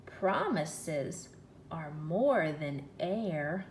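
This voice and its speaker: Woman as a storybook frog, croaking, pleading, warning, drawn-out words, slow pace, roomy echo. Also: low-pitched, expressive, slight background noise, pitched below the performer's own voice, heavily acted.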